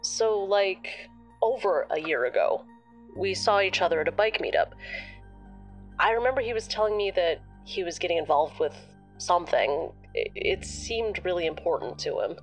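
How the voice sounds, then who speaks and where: A woman playing a casual young acquaintance on a phone call, talking in a halting, hesitant way. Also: on the low side, lively intonation, soft background music, close to an everyday voice. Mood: hesitant, reflective. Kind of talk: naturalistic audio drama phone conversation.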